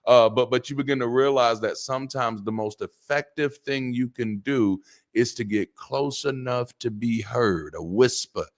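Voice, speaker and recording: A middle-aged man with a naturally deep voice, preaching a sermon in a lively, joking way and repeating words for emphasis. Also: moderate pace. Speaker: pastor.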